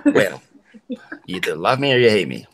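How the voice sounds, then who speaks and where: A man's voice: on the low side, sing-song, brisk, gravelly, fairly bright tone. An older man, a host of a weekly video hangout, chatting in a joking, playful way. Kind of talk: casual video call chat.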